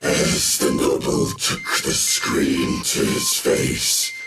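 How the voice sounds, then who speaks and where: A man playing a grave, theatrical narrator of a dark science fiction audio drama, with drawn-out, ominous delivery. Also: a bass voice, level tone, heavily acted. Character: ominous male narrator of a dark sci-fi tale. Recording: echoey, distant voice under loud music.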